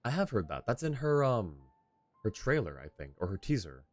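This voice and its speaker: A man's voice: medium pitch, big pitch swings, normal speed, no room sound, faint music behind. A male streamer chatting casually while playing a game, rambling and laughing often. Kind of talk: casual gameplay chat.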